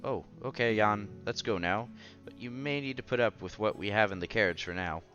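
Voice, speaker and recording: A man voicing an effusive, scholarly gentleman from a visual novel, formal, polite and eager to flatter. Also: lowish voice, fairly quick, muffled recording, soft background music. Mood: hospitable.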